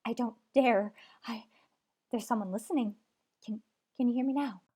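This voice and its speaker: A woman's voice: a little high, normal speed, mellow, breathy edge. A woman playing a nervous, imperious invalid in an old-time radio thriller, agitated and pleading. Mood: desperate whispering pleas. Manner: demanding, agitated, breathless pleading.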